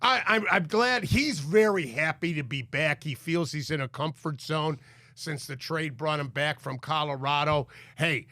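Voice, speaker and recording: A man hosting a sports talk show, congested from a cold, talking in an energetic, emphatic way. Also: fairly high pitch, a little slow, dry recording, studio quality. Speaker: sports radio host.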